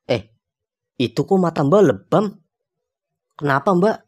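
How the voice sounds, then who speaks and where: A man's voice: fairly high pitch, animated, measured pace. A man voicing a young, easygoing but jumpy hotel guest in a cartoon, speaking casual slang. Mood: concerned.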